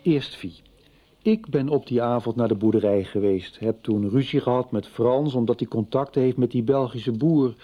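A man's voice: medium pitch, moderate pace, dark tone, natural voice. A man playing a stubborn, calculating husband and businessman in a radio soap, terse and defensive. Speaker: actor in a radio serial. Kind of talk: 1990s Dutch radio soap acting.

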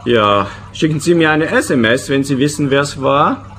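An actor playing a flippant, spaced-out, indifferent lover in a comic radio play, airy and nonchalant. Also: male voice, on the high side, moderate pace, fairly bright tone, slight background noise, natural voice.

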